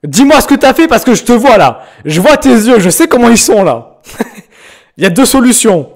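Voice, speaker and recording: High-voiced joking young French streamer. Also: male voice, sing-song, normal speed. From France. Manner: joking, slangy, teasing, breaks into loud outbursts. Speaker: streamer reacting to viewers' music. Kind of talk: casual live-stream chat.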